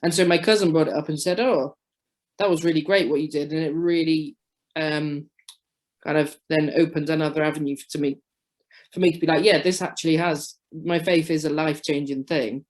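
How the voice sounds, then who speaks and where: A woman sharing her story as a guest on a video call, halting with many restarts. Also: sits low, fairly even intonation, darkish tone. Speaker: webinar guest.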